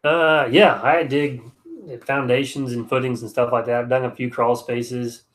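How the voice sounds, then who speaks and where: A man's voice: medium pitch, little pitch movement, normal speed. A man with a stuffed-up nose chatting casually on a home livestream, reading comments with easy, rambling restarts. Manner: easygoing, rambling, frequent restarts. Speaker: excavation contractor streaming live.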